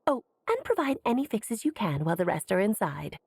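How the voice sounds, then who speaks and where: A woman's voice: mid-range voice, big pitch swings, brisk, gravelly, darkish tone, slightly rough, dry recording, full character voice. A woman voicing a playful, self-assured female mage in a video game, breezy and genteel yet commanding. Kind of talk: animated game dialogue.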